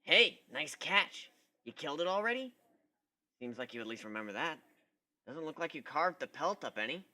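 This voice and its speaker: A man's voice: fairly high pitch, big pitch swings, normal speed, sharp tone, full character voice. A man voicing a brash, sarcastic but curious female griffin in a dramatic story reading, with a casual, teasing manner. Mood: impressed.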